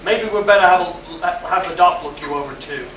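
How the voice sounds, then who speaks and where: An actor playing a skeptical, exasperated rocket base boss in a live sci-fi radio play, no-nonsense and pressing. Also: male voice, sits high, a little fast, close to an everyday voice. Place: live performance in a room at a convention. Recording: echoey, distant, noisy live convention stage recording.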